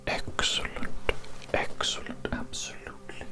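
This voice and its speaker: A person whispering in a breathy, unvoiced voice, playing a doctor in an ASMR hearing-test roleplay with coaxing, repetitive patter. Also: unhurried, over loud music.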